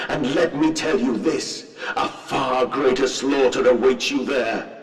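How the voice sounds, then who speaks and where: A sentient machine that believes itself a god, booming from far off in a vast echoing hall. Its oratory is slow and theatrical, swooping widely between coaxing and menacing rants, in the manner of a dark video game villain. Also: male voice. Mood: grave, warning. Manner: theatrical, oratorical delivery, swinging from coaxing to ranting. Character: grandiose sentient machine god, pleading, menacing, male-voiced. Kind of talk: dramatic video game monologue. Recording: cavernous echoing hall, distant voice.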